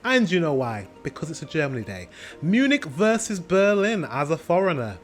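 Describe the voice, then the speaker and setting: Chatty British man, bright pitch. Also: big pitch swings, normal speed, faint music behind. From Britain. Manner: chatty, thinks aloud with hums and asides to viewers. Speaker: reaction video host. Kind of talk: casual reaction commentary.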